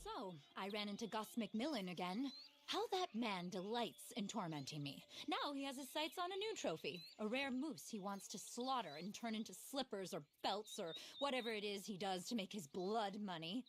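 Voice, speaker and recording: A woman's voice: mid-range voice, very animated, moderate pace, slight background noise, lightly acted. An actress playing an eccentric, easily flustered lady naturalist in a video game, speaking in a genteel, fervent, theatrical way. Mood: disgusted. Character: earnest, flustered, eccentric lady naturalist who adores animals. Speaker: character in a video game cutscene. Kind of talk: dramatic game voice acting.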